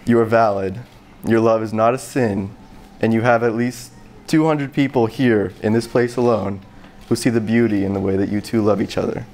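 A young man giving a heartfelt wedding officiant speech in a barn, sincere and measured. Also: fairly low pitch, fairly even intonation, darkish tone, a little hiss or hum. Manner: sincere, measured, earnest delivery. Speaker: wedding officiant, brother of a bride. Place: large open barn venue, indoors.

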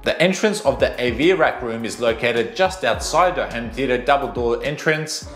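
A man's voice: medium pitch, expressive, normal speed, a bit bright, roomy sound. A man in his thirties giving upbeat, scripted video narration as a channel host, recorded on a lapel mic. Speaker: home theater channel host. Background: background music.

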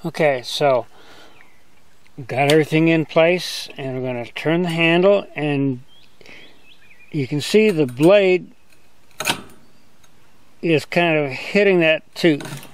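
An older man giving a relaxed, step-by-step outdoor demonstration as a sawmill instructor, talking in a plain, easygoing way. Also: a little high, slow, pauses often, slight background noise. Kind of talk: hands-on demonstration. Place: outdoors on a grassy lawn.

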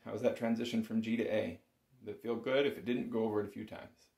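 A man's voice: fairly low pitch, steady tone, fast, creaky, darkish tone. A man giving a casual, informal music lesson as an instructor, thinking aloud as he goes. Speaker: music instructor, bagpipe teacher. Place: small home room with shelves, indoors. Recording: roomy, echoing home recording.

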